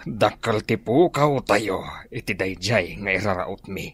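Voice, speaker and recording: Drama role: male actor as embittered village fighter, Ilocano accent, lowish rough voice. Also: animated, unhurried. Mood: bitter.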